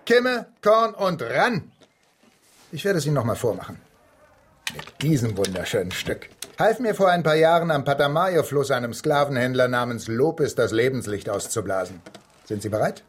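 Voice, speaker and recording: An actor in a German radio drama playing a swaggering, cheerful gentleman adventurer, jovial and teasing, with a husky voice. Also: medium pitch, very animated, normal speed, a little hiss or hum, lightly acted. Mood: brisk, eager. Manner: jovial, teasing, old-fashioned gentlemanly turns of phrase. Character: swaggering, cheerful English adventurer and gun enthusiast.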